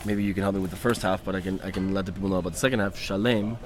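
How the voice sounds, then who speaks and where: A man from Venezuela hosting a casual outdoor conversation, pausing often and searching for the right words. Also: low voice, steady tone, music in the background. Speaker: host. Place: outdoors in a city. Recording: noisy outdoor street recording.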